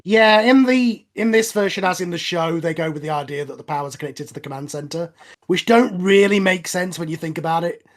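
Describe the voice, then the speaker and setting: A man with a fairly high-pitched voice gives casual commentary. He talks as a co-host in a relaxed, conversational watch-along style. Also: brisk. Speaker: writer and co-host of a cast commentary.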